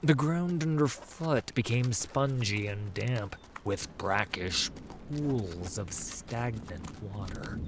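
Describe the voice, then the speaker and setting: A man narrating a fantasy story as a dramatic audiobook, with measured, ominous storytelling. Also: fairly low pitch, very noisy.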